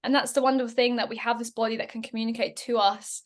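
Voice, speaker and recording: A woman's voice: a little high, steady tone, fast talker. A woman co-hosting a podcast, talking in an encouraging way and often closing with affirming questions. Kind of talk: casual conversational podcast.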